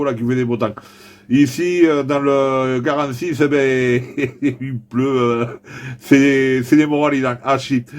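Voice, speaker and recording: Chatty man on CB radio. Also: medium pitch. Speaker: CB radio operator. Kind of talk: casual amateur radio chat.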